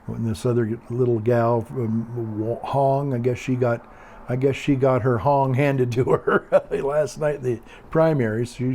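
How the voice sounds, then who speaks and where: An older man with a calm, quiet voice, chatting casually in a meandering, hesitant way and often repeating himself. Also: mid-range voice. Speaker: video blogger. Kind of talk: casual rambling monologue.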